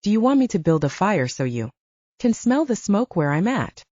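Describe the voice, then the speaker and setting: A woman's voice: mid-range voice, sing-song, normal speed, warm tone, no room sound, muffled recording. A woman voicing a stoic, dryly curt male supernatural hunter in an audiobook.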